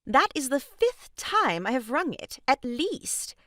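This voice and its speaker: A woman's voice: high-pitched, sing-song, slow pace, bright, forceful tone, no room sound, clean studio sound, full character voice. An actress playing a composed married lady in a comic farce, prim, formal and dryly polite. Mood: brisk, composed. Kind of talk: comic stage farce acting.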